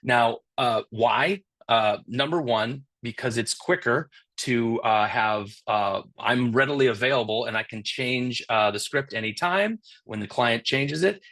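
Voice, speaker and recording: A man's voice: medium pitch, a bit bright. Casual man losing his voice. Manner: casual, many fillers and restarts. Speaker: creative director presenting a webinar. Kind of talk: conference talk.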